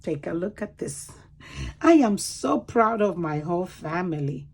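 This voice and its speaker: A woman reading a picture book aloud to children in an expressive, deliberate way, pausing to explain words and point things out. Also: lowish voice, husky. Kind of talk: animated children's read-aloud with commentary asides.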